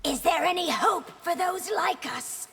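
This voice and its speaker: A resolute game empress with a high voice and some rasp at the edges. Each line is slow, regal and commanding, ringing in a long reverb. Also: female voice, lively intonation, a bit bright, exaggerated voice. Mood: stern, resolute. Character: proud, resolute empress hiding a feral affliction. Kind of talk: video game character acting. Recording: long hall reverb, music behind.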